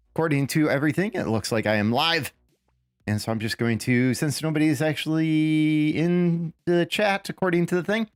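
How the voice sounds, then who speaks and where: A man with a raspy voice, still getting over a lost voice, giving casual live commentary on a game he is playing and thinking aloud as he goes. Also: mid-range voice, no echo, clean studio sound. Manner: rambling, thinking aloud with fillers and restarts.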